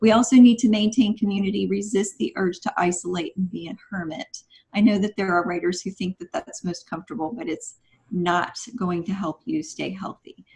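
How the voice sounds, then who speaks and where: A woman's voice: on the low side, fairly even intonation, normal speed, creaky, darkish tone, poor audio. A woman guest on a podcast, giving conversational advice in an instructive, encouraging manner.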